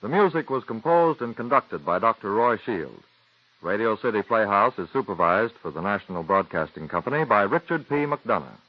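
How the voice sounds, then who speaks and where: A man announcing formally for a radio network from a studio, crisp and measured. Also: on the low side, lively intonation, a little hiss or hum. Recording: dry, dull 1940s radio broadcast.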